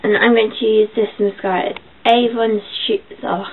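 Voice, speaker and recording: Croaky young woman, tinny webcam. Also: on the high side, fairly even intonation, normal speed. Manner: chatty, rambling with filler ums. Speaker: beauty vlogger. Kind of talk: casual makeup tutorial. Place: small bedroom. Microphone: built-in webcam mic. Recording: tinny lo-fi webcam audio, faint hiss.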